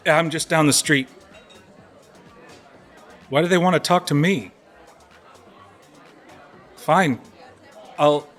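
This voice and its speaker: A man's voice: a little high, fairly bright tone. A man playing a volatile, tightly wound law firm associate in an audio drama. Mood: anxious, guarded.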